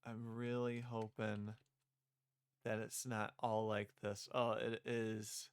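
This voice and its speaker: A man chatting casually on a livestream, halting and muttering to himself as he works. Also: on the low side, monotone, clean tone, dry recording. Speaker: streamer, visual novel creator. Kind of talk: casual livestream chat with read-aloud of own script.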